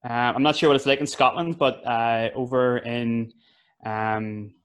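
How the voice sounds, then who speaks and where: Young man, Belfast accent, youth worker, casual, laid-back, mid pitch. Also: fairly even intonation, unhurried, clean tone. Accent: Northern Irish, Belfast. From West Belfast, Northern Ireland. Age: young adult. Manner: laid-back, laughs easily, fillers. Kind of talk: casual interview.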